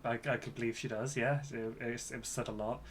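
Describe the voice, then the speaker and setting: A man co-hosting a podcast, reacting casually in a halting, mildly wry way. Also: mid-range voice, fairly even intonation. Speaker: podcast co-host. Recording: clear background noise.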